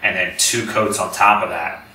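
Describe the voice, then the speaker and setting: A man's voice: deep voice, steady tone, normal speed, sharp tone. A man giving an informal, explanatory technical presentation to an audience, recorded from a distance in a room. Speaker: lecturer, company representative. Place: indoor lecture room with audience. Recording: roomy, distant mic, faint background noise.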